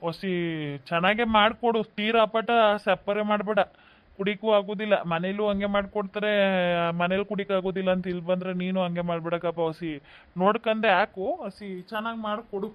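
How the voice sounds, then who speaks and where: A man's voice: high-pitched, steady tone, a little fast, natural voice. Muffled, grumbling village man acted by an actor. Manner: grumbling, particular. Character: fussy, grumbling village tea customer. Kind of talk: rural drama acting. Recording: dull lo-fi sound.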